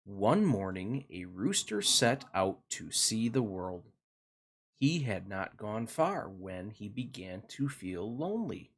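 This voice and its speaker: A man hosting a children's read-along, narrating a picture book expressively with deliberate, sing-song emphasis for young listeners. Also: fairly low pitch, big pitch swings, husky.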